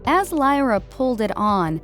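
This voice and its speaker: A woman's voice: fairly high pitch, big pitch swings, unhurried. A woman reading scripted fiction as an audiobook narrator. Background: background music.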